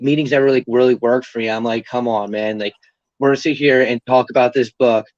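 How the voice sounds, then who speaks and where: Pria muda yang bicara santai dan penuh kata pengisi, suara agak redup. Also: male voice, medium pitch, dry recording, highs cut off. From Pennsylvania. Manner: rambling, heavy filler words, swears freely. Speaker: guest in recovery. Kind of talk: candid casual conversation.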